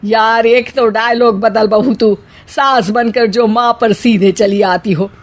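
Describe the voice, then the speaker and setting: A woman's voice: fairly high pitch, fairly even intonation, slow pace. A woman voicing an exasperated middle-aged mother-in-law in a cartoon, sarcastic and scolding.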